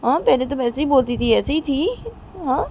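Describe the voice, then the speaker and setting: A woman's voice: high voice, moderate pace, darkish tone. Woman as hurt mother, reproachful, admonishing, self-blaming, repetitive, amateur story acting, noisy ambience. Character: hurt, reproachful mother gently scolding a child.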